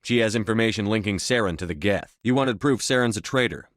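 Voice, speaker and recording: A man's voice: fairly low pitch, a little fast, no echo, studio quality, lightly acted. A man playing a resolute, blunt military commander in a science fiction video game, speaking firmly and tersely with authority. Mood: indignant. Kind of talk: scripted game dialogue.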